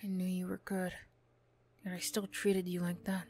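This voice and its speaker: A woman's voice: lowish voice, completely flat delivery, normal speed, dark tone, slight background noise, close to an everyday voice. A woman acting a flustered, defensive young former bully in an ASMR roleplay, stammering, bashful and snappy. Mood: grudging, soft.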